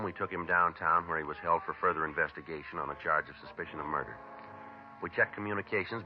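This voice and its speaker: An actor playing a calm, methodical police detective who questions a suspect and narrates his log in clipped sentences, in a 1950s radio drama. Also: sits low, lightly acted. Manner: clipped, matter-of-fact narration. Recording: dull old radio broadcast with music.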